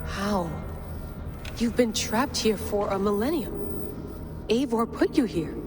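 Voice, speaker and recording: An actress playing a wary, blunt modern video game heroine with a direct, challenging manner. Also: female voice, medium pitch, lively intonation, slow pace, over music. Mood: suspicious, challenging. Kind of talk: video game cutscene acting.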